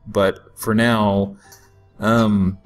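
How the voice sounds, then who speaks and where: Low-voiced man rambling over game music. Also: level tone. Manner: rambling, joking, halting asides. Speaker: let's play host. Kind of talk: casual game commentary. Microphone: voice-over microphone over game audio.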